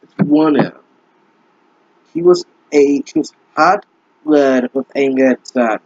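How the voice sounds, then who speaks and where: A man's voice: mid-range voice, measured pace, a little mellow, no echo. A young man reading a bad fanfic aloud and mocking it with exasperated, profane, sarcastic remarks. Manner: sarcastic, exasperated, swears often. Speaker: fanfic reader and commentator. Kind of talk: mocking commentary on a bad story. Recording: dull lo-fi dry mic.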